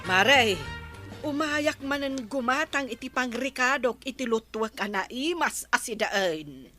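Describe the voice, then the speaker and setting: Bright, slow-talking village neighbour. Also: female voice, a little high, animated, faint music behind. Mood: surprised. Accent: Filipino, Ilocano. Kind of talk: radio comedy drama acting.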